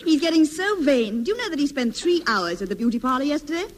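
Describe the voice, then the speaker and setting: A woman's voice: high voice, expressive, quick pace. An actress playing an exasperated, mocking woman in a 1950s British radio comedy, with an arch, theatrical delivery. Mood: gossipy. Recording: very noisy old radio recording.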